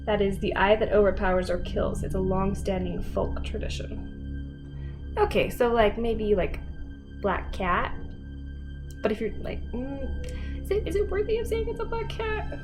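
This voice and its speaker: A woman's voice: very high-pitched, wildly swooping pitch, a little slow, long pauses, over music, rough recording. A woman host doing a semi-dramatic read-aloud of a story, wry and informal, breaking off for casual asides.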